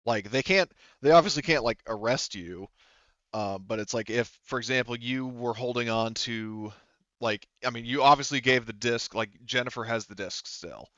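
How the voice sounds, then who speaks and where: A man's voice: medium pitch, normal speed, pushed and bright, dry recording, muffled recording. A man acting as game master, casually explaining a tabletop roleplaying scene, often repeating words as he works out his phrasing.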